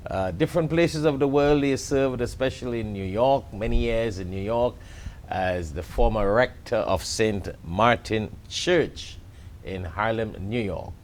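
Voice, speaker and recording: A man's voice: medium pitch, slight background noise. A man with a Trinidadian accent hosting an interview in a television studio, speaking with fillers and restarts. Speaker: talk show host.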